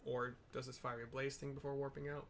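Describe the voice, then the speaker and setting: A man's voice: mid-range voice, little pitch movement, brisk, a bit bright, slight background noise. A man giving a casual, rambling, mildly sweary play-by-play of a game, describing the scenes as he goes. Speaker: gamer narrating a playthrough. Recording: game music behind the voice. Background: video game music.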